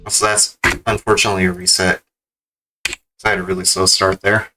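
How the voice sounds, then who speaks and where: A man's voice: low voice, flat delivery, brisk, bright, forceful tone, faint music behind. A man giving casual gameplay commentary as a speedrun streamer. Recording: keyboard clicks and background noise.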